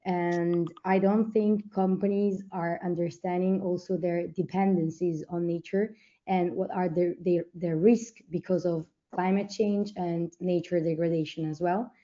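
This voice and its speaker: A woman who has lost her voice from talking too much, speaking hoarsely and haltingly in an online group discussion. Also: lowish voice, fairly even intonation, dark tone. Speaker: panel participant. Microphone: video call. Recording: muffled video call audio.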